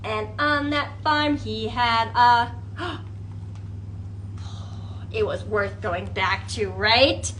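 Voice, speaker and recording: Bright, high-voiced woman leading children's storytime. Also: extremely slow, long pauses, slight background noise, rough recording. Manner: animated, exaggerated wonder, coaxing questions for young children. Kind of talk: interactive children's storytime with puppets and singalong.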